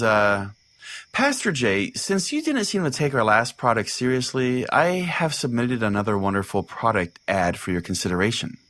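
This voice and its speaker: A man's voice: fairly low pitch, a bit bright, no echo. A man playing a crude, oblivious small-town pastor who hosts a radio call-in show, folksy and theatrically earnest. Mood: irreverent, folksy. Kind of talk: comedic mock-radio sermon and host banter.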